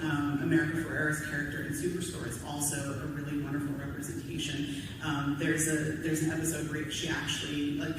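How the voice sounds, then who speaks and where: A woman panelist giving candid, informal personal commentary at a convention panel, talking in a halting way with asides. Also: sits low. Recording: echoey hall, distant mic, clear background noise.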